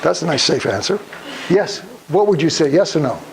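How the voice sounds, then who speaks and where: An elderly man from Poland giving a public talk, speaking deliberately in halting phrases and putting questions to his listeners. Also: fairly high pitch, lively intonation, creaky. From Krakow, Poland. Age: over 75. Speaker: guest speaker, Holocaust survivor. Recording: noisy live room recording.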